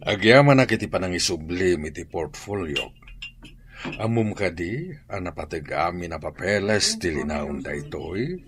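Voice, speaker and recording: Very deep-voiced courteous hotel boss. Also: male voice, sing-song, normal speed, a crowd in the background. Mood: polite, businesslike. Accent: Filipino. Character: courteous adult male hotel owner offering work. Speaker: drama actor. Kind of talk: Ilocano radio drama acting.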